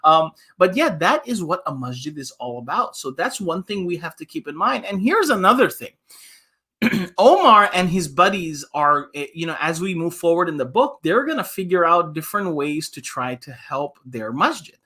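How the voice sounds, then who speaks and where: A man, an imam, hosting a children's story time, reading and chatting in an animated, conversational way with questions for listeners. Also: on the high side, moderate pace, slightly rough.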